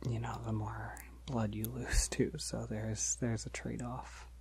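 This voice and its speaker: A man playing a polite, gentle, shy vampire in an ASMR roleplay, speaking softly and hesitantly to reassure the listener, often near a whisper. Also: on the low side, little pitch movement, vocal fry, breathy edge, exaggerated voice. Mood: friendly, encouraging. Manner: hesitant, reassuring, halting with soft chuckles.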